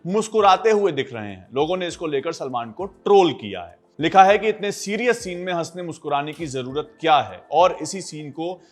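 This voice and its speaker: A man's voice: a little high, lively intonation, moderate pace, hardly any pauses. A man reading a scripted news explainer as a narrator.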